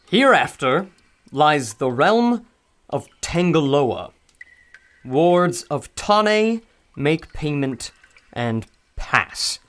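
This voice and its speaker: A man's voice: on the high side, very animated, extremely slow, long pauses, fairly bright tone, full character voice. An actor playing an earnest male scholar and translator held captive, in an old-time radio drama. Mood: curious.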